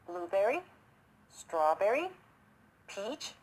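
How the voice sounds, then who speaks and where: A woman's voice: mid-range voice, very animated, slow, husky, exaggerated voice. A woman voicing a worldly, eager male city chipmunk in a children's storybook, persuasive and full of questions.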